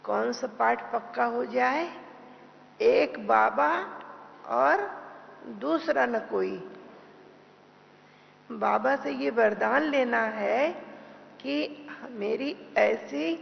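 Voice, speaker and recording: A woman giving a devotional class as a spiritual teacher, speaking deliberately with pauses and repeated phrases, in a dull, noisy hall recording. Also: fairly high pitch, animated, vocal fry.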